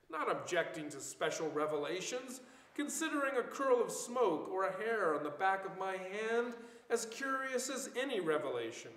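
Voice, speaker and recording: An older man, a poet, reading poetry aloud in a measured, declamatory manner. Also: high-pitched. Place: church room indoors, group reading. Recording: echoey hall with long reverb.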